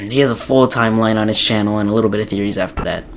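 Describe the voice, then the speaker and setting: A young man with a slightly raspy, hoarse voice from a sore throat, giving a rambling casual game tutorial with many ums and restarts. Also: on the low side, fast, a little hiss or hum. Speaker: gaming YouTuber.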